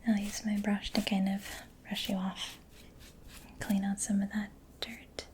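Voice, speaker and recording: A woman speaking in a soft, breathy whisper, gentle and soothing, in a close-miked ASMR personal attention roleplay as a mechanic. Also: medium pitch, monotone, measured pace, slight background noise. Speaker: ASMR roleplayer playing a robot repair mechanic. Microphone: close microphone.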